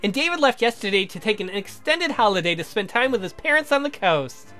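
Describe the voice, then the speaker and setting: A woman voicing a sweet, demure, apologetic young lady from a visual novel, polite and gentle in manner. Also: mid-range voice, lively intonation, a little fast, soft background music, natural voice. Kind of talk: theatrical visual novel character reading.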